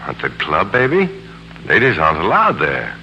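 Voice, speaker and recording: An American actor playing a smooth, sardonic, tormented businessman and father in a 1940s radio drama. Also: deep voice, huge pitch swings, normal speed, highs cut off, exaggerated voice. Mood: tender, soothing. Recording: humming, noisy 1940s radio recording.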